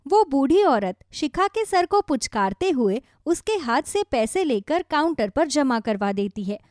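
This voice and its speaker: A woman's voice: sits high, sing-song, unhurried, darkish tone, no echo, studio quality. A woman narrating a scripted children's story.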